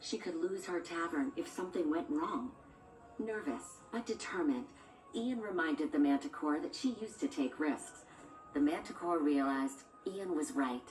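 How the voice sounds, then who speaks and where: A woman's voice: low-pitched, normal speed, darkish tone. A woman reading a scripted children's storybook as a read-along narrator, with music behind her. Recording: roomy, distant sound with music underneath.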